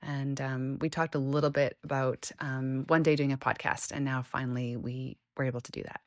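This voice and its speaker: Low-voiced effusive woman podcast host. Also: little pitch movement, moderate pace, dry recording, studio quality. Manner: effusive, gushing, laughs often. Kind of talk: warm conversational interview.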